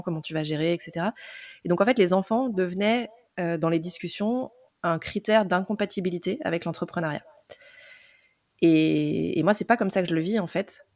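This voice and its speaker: A woman's voice: fairly low pitch, darkish tone, dry recording, studio quality. A woman in her forties, an entrepreneur and podcast guest, talking through her story with many hesitations and fillers. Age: 40s. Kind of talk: casual interview chat.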